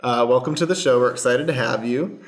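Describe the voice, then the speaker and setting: A man's voice: mid-range voice, fairly quick. Man hosting an improv audio show. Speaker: host and stage manager of an improv podcast. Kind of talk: friendly scripted-style show introduction and explanation.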